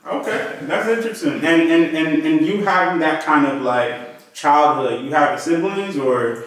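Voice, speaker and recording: A man's voice: on the high side, measured pace, a bit bright. A man hosting an interview in a casual, buddy-like way. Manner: informal, buddy-like address. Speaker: interview host. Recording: very echoey room, distant microphone.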